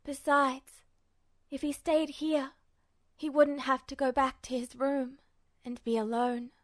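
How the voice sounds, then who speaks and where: High-voiced woman narrating fiction. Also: level tone, slow, no echo. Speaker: podfic narrator. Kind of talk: expressive scripted fiction narration.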